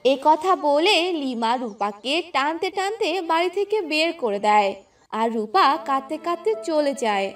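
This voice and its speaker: High-pitched, animated woman storyteller. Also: unhurried, distant mic, soft background music. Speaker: narrator. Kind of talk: scripted fairy-tale narration.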